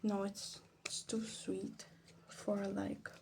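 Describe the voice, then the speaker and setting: Woman, ASMR host: hushed, half-whispered and crackly with fry, thinking aloud, faint noise on a phone recording. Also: mid-range voice, little pitch movement, slow pace. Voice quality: soft, breathy half-whisper with creaky fry. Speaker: vlog host. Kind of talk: ASMR casual commentary. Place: her bedroom.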